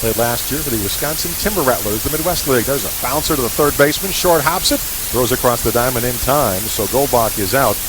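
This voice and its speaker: Male play-by-play baseball announcer, medium pitch, clipped live radio calls, noticeably hissy broadcast recording. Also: lively intonation, brisk, few pauses. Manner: clipped, fragmentary phrasing that follows the action.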